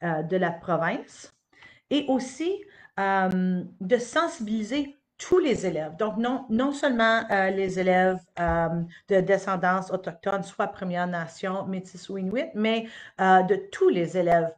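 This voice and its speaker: A woman's voice: mid-range voice, a little slow. Hesitant woman presenter. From Northern Ontario, Canada. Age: middle-aged. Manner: hesitant, many "euh" fillers and restarts. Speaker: education officer giving a presentation. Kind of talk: unscripted live presentation. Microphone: webcam or computer mic on a live stream.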